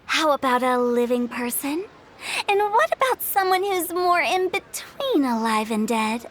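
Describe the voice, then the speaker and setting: A woman's voice: high-pitched, lively intonation, measured pace, a little hiss or hum. A woman playing a playful, theatrical young funeral parlor director with a teasing manner in a video game. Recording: faint music behind the voice.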